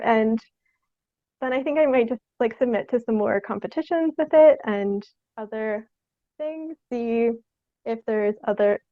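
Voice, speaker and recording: Young woman, fairly high voice, casual interview guest, tentative and halting, dry close sound. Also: no echo, phone-quality sound. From Canada. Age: young adult. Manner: tentative, halting, giggles through speech. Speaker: guest, theatre artist.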